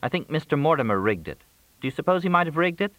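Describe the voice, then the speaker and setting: A man's voice: a little high, very animated, fast, fairly bright tone, no room sound, voice pushed up. A man voicing a plaintive, needy, hungry woman in audiobook dialogue.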